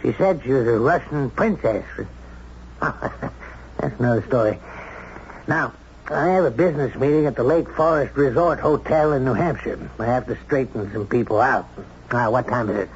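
An actor playing a brash, blustery older business tycoon with a brusque, commanding manner, in 1970s radio drama. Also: male voice, mid-range voice, normal speed, close to an everyday voice. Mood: breezy, commanding. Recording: muffled 1970s radio recording, faint noise.

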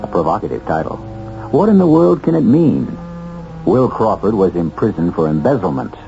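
A man hosting and narrating a 1970s radio mystery in a theatrical, erudite and deliberate manner. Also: sits low, big pitch swings, gravelly, warm tone, soft background music, exaggerated voice. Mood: teasing, intrigued. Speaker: host and narrator. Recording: dull 1970s radio broadcast recording.

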